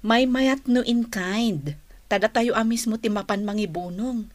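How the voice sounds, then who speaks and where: An actress playing a compassionate, generous married woman in an Ilocano radio drama, gentle and encouraging. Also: on the high side, measured pace, dry recording, close to an everyday voice.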